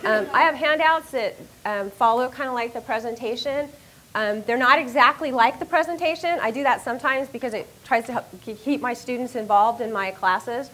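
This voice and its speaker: Middle-aged woman professor, loud and lively, higher voice, lecture mic, faint noise. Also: moderate pace. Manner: loud, animated, self-deprecating. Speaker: university professor and speaker. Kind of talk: lecture to an audience. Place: lecture room. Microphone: handheld or stand microphone.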